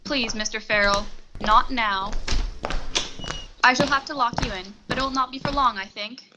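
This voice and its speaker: A woman's voice: on the high side, very noisy, close to an everyday voice. A young woman acting a cool, formal, menacing blackmailer receptionist in a 1940s-style radio suspense play. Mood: polite, commanding. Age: young adult. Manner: formal, measured, polite menace. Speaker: high school theatre student actor.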